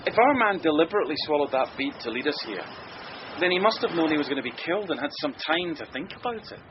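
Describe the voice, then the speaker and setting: An actor playing an earnest, polite, patient police sergeant in a radio mystery drama. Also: male voice, on the high side, big pitch swings, fast. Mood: earnest, deferential. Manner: polite, methodical, deferential. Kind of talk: radio comedy-mystery acting. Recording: dull lo-fi sound, noisy ambience.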